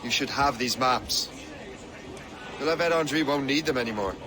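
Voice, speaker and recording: A man's voice: medium pitch, normal speed, a crowd in the background, natural voice. An actor playing a brooding young male assassin turned sea captain in a dramatic video game, earnest and hard-edged. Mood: grave, businesslike.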